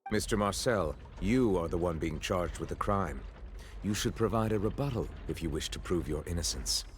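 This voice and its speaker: A deep male voice for a stern presiding judge in a video game, performed by an actor. He is grave and formal, with faint noise behind him. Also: moderate pace, close to an everyday voice. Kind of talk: video game courtroom drama acting.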